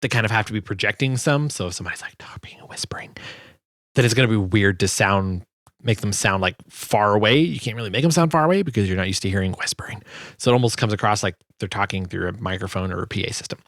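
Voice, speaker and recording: A man's voice: on the low side, fast, a bit bright, no echo, clean studio sound. A man giving a casual, informal tutorial as a sound designer, hesitant as he thinks aloud. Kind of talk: casual screen tutorial narration.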